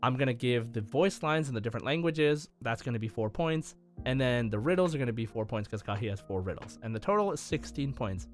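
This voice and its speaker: A man's voice: medium pitch, expressive, brisk, few pauses, soft background music. Enthusiastic male gaming podcast host. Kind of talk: casual gaming podcast chat.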